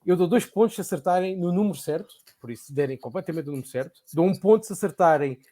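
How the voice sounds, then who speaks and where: Casting: man, slightly high, mellow tone, laid-back quiz host who stumbles over his own rules. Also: quick pace. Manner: hesitant, restarts when explaining rules. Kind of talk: casual quiz game chat.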